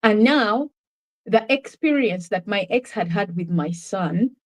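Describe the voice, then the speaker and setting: A woman's voice: mid-range voice, expressive, a little slow. A woman from Uganda with a Ugandan accent, giving an earnest, reflective account of her own life as a guest in a conversational interview. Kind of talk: personal testimony in conversation.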